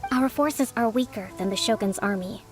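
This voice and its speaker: A woman playing a young priestess who leads a resistance army, speaking in a polite, formal, measured way in a video game. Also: on the high side, soft background music, natural voice. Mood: grateful.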